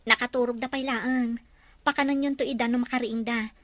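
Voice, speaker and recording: A woman's voice: a little high, steady tone, brisk, fairly bright tone, dry recording, close to an everyday voice. A woman with an Ilocano accent acting a dutiful young mother, polite to her elders, in a family drama. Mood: polite, anxious.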